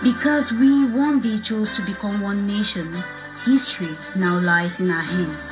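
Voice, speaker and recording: A woman from Cameroon reciting a solemn message of peace in a measured, declamatory way. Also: medium pitch. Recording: spoken over a loud music bed.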